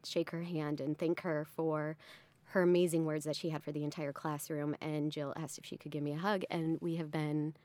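Low-voiced earnest young woman, dry sound. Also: flat delivery, normal speed, a little mellow, no room sound. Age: 20s. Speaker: interview participant and health advocate. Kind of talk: personal storytelling.

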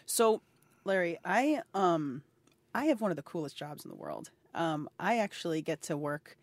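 A woman's voice: lowish voice, huge pitch swings, moderate pace, no echo. A woman in her thirties interviewing someone, encouraging and curious, asking follow-up questions. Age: 30s. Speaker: interviewer, health advocate. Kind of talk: recorded personal conversation. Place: indoors at a cultural center.

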